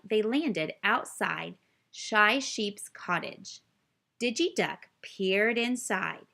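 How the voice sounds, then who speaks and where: A woman narrates a children's storybook at a slow, deliberate pace. Her voice sits at a medium pitch, and she reads expressively, as if speaking straight to young listeners. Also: sharp tone. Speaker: storybook reader. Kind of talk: children's read-aloud narration.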